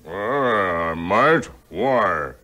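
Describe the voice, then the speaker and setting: An actor playing a surly, boastful backwoods bully with a gravelly voice in a 1950s record drama. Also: male voice, low-pitched, lively intonation, very slow, bright, forceful tone, heavily acted. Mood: surly, gloating.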